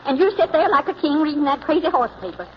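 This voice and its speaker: Fretful, high-voiced scolding mother. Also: sing-song, quick pace. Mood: exasperated. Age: middle-aged. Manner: fretful, exasperated, sarcastic. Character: anxious, scolding mother of a struggling family. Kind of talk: 1940s radio drama acting. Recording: dull, noisy vintage radio recording.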